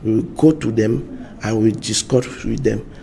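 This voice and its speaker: Halting gravelly man. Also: fairly low pitch, steady tone, dark tone. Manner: halting, repeats words, searches for English. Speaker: party spokesman. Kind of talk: studio interview answers.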